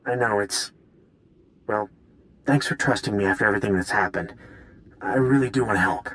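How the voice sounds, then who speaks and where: A man's voice: fairly low pitch, normal speed, a bit bright, lightly acted, low-quality recording. A man voicing a nervous, guilt-ridden weapons designer in a video game, hesitant and stammering as he apologises. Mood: nervous, earnest. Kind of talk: video game cutscene acting.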